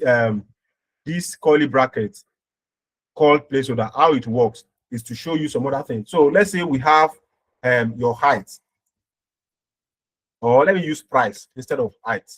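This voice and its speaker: A man's voice: medium pitch, rough recording. A man giving an informal step-by-step programming tutorial as an instructor, often checking in with short tag words as he explains.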